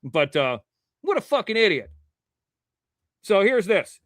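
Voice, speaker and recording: A man from Ohio hosting a live show, chatting casually and reading the chat, rambling and swearing now and then in clean, crisp diction. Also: sits high, sing-song, unhurried, no echo, studio quality.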